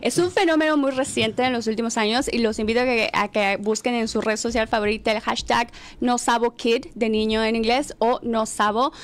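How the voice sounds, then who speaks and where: Woman from the us, bit high voice, chatty panel guest, laughs a lot. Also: steady tone, fairly quick, hardly any pauses. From United States. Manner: talkative, laughs often, many restarts. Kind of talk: casual panel discussion.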